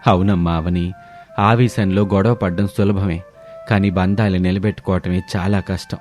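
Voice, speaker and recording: A man's voice: sits low, steady tone, moderate pace, a little mellow, faint music behind, lightly acted. A man voicing a kindly older family elder who counsels in a fatherly way. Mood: gently admonishing.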